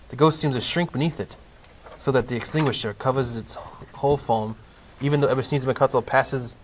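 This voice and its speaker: Medium-pitched male narrator, noisy recording. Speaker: narrator of a comedy audio play. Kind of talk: scripted storybook narration. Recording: clearly noisy amateur recording.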